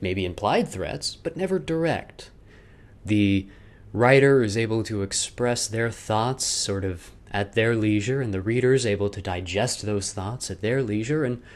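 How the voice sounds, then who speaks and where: A man with a somewhat low voice talks as a video creator would in a personal piece. His delivery is wry and self-deprecating, and he breaks off into joking asides. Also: a little mellow. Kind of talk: casual vlog intro, then loose dramatic reading of a personal letter.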